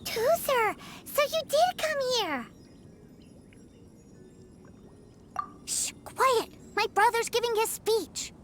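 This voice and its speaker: A woman voicing an innocent, excitable little boy in a video game, with an eager, guileless childlike manner. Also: very high voice, slow, a little hiss or hum, heavily acted. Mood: excited.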